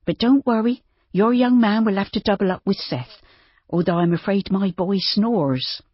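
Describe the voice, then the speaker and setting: A warm-hearted, bustling farmer's wife who fusses over her guests, played by a storybook reader. She talks in a raised, brisk voice with a breathy edge. The sound is dry and a little dull. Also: medium pitch, no echo, pitched above the performer's own voice, natural voice. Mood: motherly, brisk.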